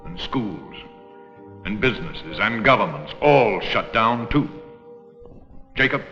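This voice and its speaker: An actor playing a forceful, blustery American president and reformer in an educational film re-enactment, speaking in an emphatic, oratorical way. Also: male voice, lowish voice. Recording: muffled old 16mm film, reverberant room.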